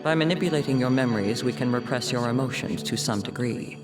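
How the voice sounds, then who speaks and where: A man's voice: medium pitch, quick pace, lightly acted. A man playing a cold, ominous overseer in a video game, speaking formally and sternly. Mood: cold, instructive. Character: cold, ominous male overseer giving warnings. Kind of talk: video game cutscene monologue. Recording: distant voice under prominent music.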